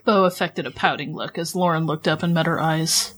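A woman's voice: low-pitched, a little fast, a bit bright, husky. A woman co-hosting a podcast, playful and giggly as she chats casually and reads fan fiction. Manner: playful, giggly, breaks up while reading.

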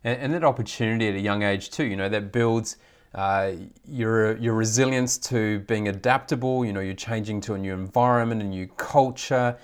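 A man's voice: lowish voice, moderate pace, clean tone. A man hosting a talk show, introducing and questioning a guest in a friendly, wandering way. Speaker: podcast host. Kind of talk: interviewing a guest.

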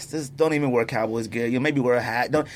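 A man's voice: medium pitch, moderate pace. An American man in casual podcast debate, speaking emphatically in slangy, informal language and repeating himself to drive points home. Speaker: podcast co-host. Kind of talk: casual sports debate between friends.

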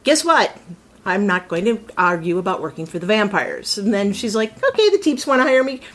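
A woman's voice: medium pitch, very animated, a little fast, a bit bright. A woman voice actor in a casual round-table chat, animatedly acting out her character's thoughts as she talks.

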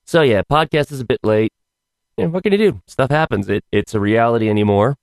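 Hoarse man rambling through a podcast. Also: mid-range voice, expressive, a little fast, a little mellow, no room sound. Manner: rambling, wry asides. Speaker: podcast host. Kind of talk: casual solo podcast chat.

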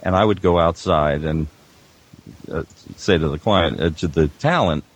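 An older man, a veteran producer, chatting casually and haltingly, acting out quotes as he tells anecdotes. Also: deep voice, husky. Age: 50s or older. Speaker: veteran audio producer, guest.

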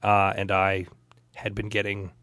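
A man in a casual podcast conversation, telling personal anecdotes in a measured, thoughtful, hedging way. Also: on the low side, monotone, a bit bright, dry recording.

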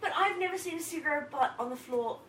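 A woman co-hosts a rowdy travel chat, swearing and laughing. Her voice sits high. She is recorded from a distance in an echoey room with clear background noise. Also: fast. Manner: loud, swearing, laughing a lot. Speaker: podcast co-host. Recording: echoey room, mic far off, noisy.

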